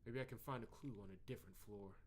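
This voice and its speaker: A man voicing an earnest, puzzled visual novel protagonist who reasons things through deliberately. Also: fairly low pitch, a little hiss or hum, lightly acted. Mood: puzzled, reasoning. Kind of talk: reading visual novel dialogue and inner monologue.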